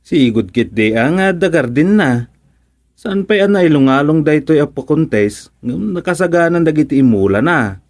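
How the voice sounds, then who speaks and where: A man's voice: a little high, expressive, normal speed, a little mellow. A man playing a wry, joking villager in an Ilocano radio comedy drama, with an Ilocano accent.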